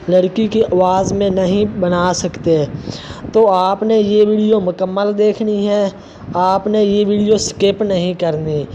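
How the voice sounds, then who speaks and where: A man with a high, feminine-sounding voice, narrating a step-by-step app tutorial as its host. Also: mellow. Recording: dull lo-fi sound, faint background noise.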